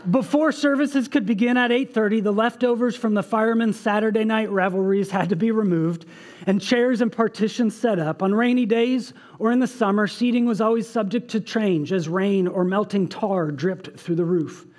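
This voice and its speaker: A man's voice: sits high, normal speed, a little mellow. A man in his thirties, a pastor preaching on a church stage, earnestly reading aloud with asides. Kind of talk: sermon. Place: church stage with band behind.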